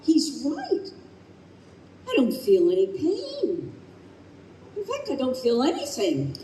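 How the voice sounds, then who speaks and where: A frank, free-spirited middle-aged wife played by a woman in an old-time radio play. She chides and teases with affection, in slow, very lively phrases on a high voice, with a roomy live echo. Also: heavily acted. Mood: alarmed. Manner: dry, chiding, affectionate. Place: live stage at a convention. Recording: roomy, faintly noisy stage recording.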